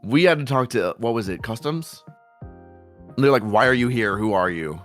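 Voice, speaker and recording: A young man chatting casually with his partner about a trip, relaxed and chiming in with short agreements. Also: medium pitch, moderate pace, soft background music. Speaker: co-host partner. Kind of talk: casual chat.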